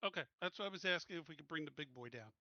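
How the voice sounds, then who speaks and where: A man's voice: fairly high pitch, expressive, very fast, sharp tone, no echo, lo-fi sound. A man taking part in a tabletop roleplaying game as a player, talking casually about the game.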